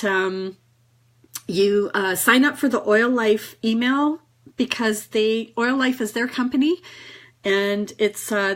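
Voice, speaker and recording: A woman with a cold giving a casual, encouraging live talk to viewers, chatty and full of fillers. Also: medium pitch. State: has a cold. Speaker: network marketing business trainer.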